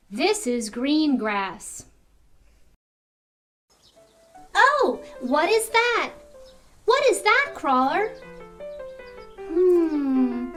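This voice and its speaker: A woman's voice: sits high, a bit bright, exaggerated voice. A woman voicing a small, cheerful, curious mouse puppet who teaches toddlers in simple, repetitive exclamations.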